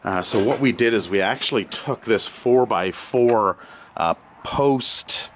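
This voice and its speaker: A man's voice: lowish voice, slow pace, a little hiss or hum. A middle-aged man casually walking through his farm, explaining repairs with many fillers and light chuckles, recorded outdoors on a handheld camera. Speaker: farm vlogger. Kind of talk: casual walkthrough commentary. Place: outdoors on a farmyard.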